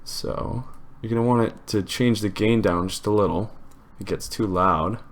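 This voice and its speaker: Man, very low pitch, tutorial host, casual, informal, step-by-step explaining. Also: normal speed.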